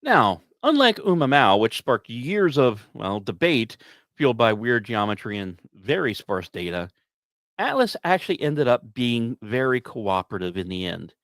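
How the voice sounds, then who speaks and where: A man's voice: medium pitch, sing-song, normal speed, fairly bright tone, no room sound. A man hosting a science podcast, delivering semi-scripted commentary with wry asides and the odd stumbled, repeated word.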